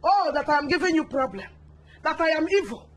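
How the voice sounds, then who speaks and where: Actress as shrill, wailing mama, suspicious of the girlfriend. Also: expressive, fairly quick, a little hiss or hum. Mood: accusing. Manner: wailing, accusing, theatrical. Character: dramatic Nigerian mother-in-law, suspicious and scheming. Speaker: mother. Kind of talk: Nollywood-style skit acting.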